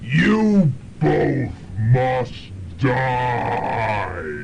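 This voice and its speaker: A murderous demon from hell, dragging out threats in a thick gravelly croak. It is campy radio drama villainy on a noisy, low-fidelity student recording. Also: male voice, sing-song, muffled recording, exaggerated voice. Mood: menacing. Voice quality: gravelly, creaky. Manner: slow, drawn-out threats. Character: menacing male demon from hell, slow and murderous.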